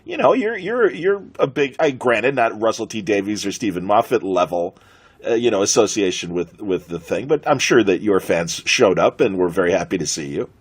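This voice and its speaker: Husky American man hosting a podcast. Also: lowish voice, expressive, moderate pace. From United States. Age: middle-aged. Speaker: podcast host. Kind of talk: conversational podcast interview.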